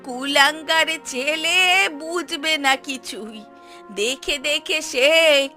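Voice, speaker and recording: High-pitched nagging cartoon housewife played by a woman. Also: takes it slowly, bright, forceful tone, faint music behind, heavily acted. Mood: scolding. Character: scolding, sharp-tongued Bengali mother and housewife. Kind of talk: cartoon voice acting.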